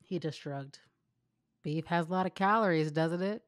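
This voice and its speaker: A young woman with a slightly low voice in a dry recording. She reads fan fiction aloud as a co-host and chats casually between lines. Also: moderate pace, dry recording. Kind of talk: casual read-aloud with banter.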